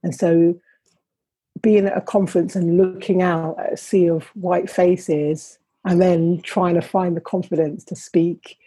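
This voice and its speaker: A woman's voice: lowish voice, flat delivery, a little slow, mellow, slightly rough, no room sound, poor audio. A middle-aged woman, an academic guest, speaking thoughtfully and measuredly in conversation, often restarting her sentences. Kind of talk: reflective interview conversation.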